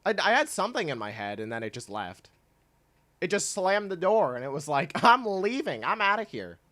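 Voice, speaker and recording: A man's voice: high voice, very animated, normal speed, bright, forceful tone, dry recording. A young man streaming and chatting casually with his viewers, congested from clogged sinuses, rambling, often cutting himself off and laughing. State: congested, stuffy nose. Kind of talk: casual livestream chat, reading and answering chat.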